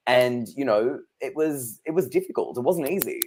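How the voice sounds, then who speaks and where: Male, 30s, mid-pitched, chatty guest telling anecdotes. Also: animated, moderate pace, a little mellow, husky. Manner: chatty, anecdotal, laughs easily. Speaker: psychic guest. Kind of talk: casual interview chat.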